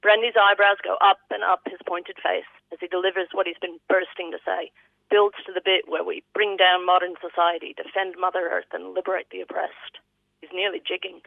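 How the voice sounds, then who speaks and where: A woman's voice: on the low side, little pitch movement, fairly quick, vocal fry, no room sound. A woman with an Australian accent reading a personal eulogy as vivid literary storytelling. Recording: thin phone-line sound.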